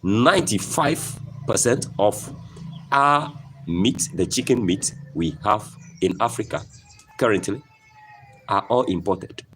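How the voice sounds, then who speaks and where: A Ghanaian man with a very deep voice speaks emphatically, repeating his points and asking "okay?" as he pushes his listeners. His pitch rises and falls in lively swings. Faint outdoor noise and chickens can be heard behind him. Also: slow pace, rough recording. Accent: Ghanaian English. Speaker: poultry farmer. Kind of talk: motivational farming talk.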